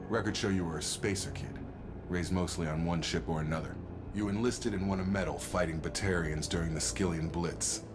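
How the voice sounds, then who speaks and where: A man playing an earnest, loyal soldier in a video game, speaking in a direct, informal and steady way. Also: deep voice, brisk, over music, lightly acted. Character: earnest, loyal male soldier, plain-spoken and conscientious. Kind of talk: video game cutscene acting.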